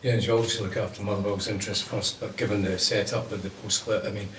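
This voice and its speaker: A male journalist with a fairly low voice asks his question quickly at a press conference. He is recorded from a distance, with echo and light background noise. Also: level tone, a bit bright. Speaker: sports journalist. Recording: echoey room, distant mic.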